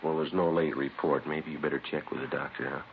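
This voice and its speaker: An American man playing a terse, businesslike police detective in an old radio crime drama, speaking in a deadpan, matter-of-fact way. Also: very deep voice, brisk, gravelly, a little hiss or hum. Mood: low-key. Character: terse, businesslike male police detective narrating his case. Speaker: detective narrator. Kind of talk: 1950s radio crime drama, clipped narration. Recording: muffled vintage radio recording, music behind.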